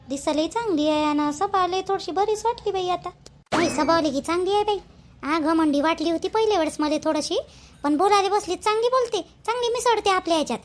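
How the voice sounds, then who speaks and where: A woman with a Vidarbha Marathi accent playing a dutiful young newlywed daughter-in-law in a village cartoon, chatty, rustic and deferential. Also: extremely high pitch.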